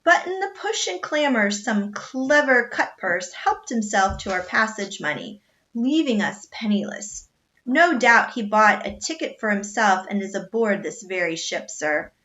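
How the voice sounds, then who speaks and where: A polite, composed middle-aged butler, as a woman reader puts him on, always correct and formal. The tone is bright, and the recording sounds dull and lo-fi. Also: mid-range voice, animated, a little slow. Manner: formal, courteous.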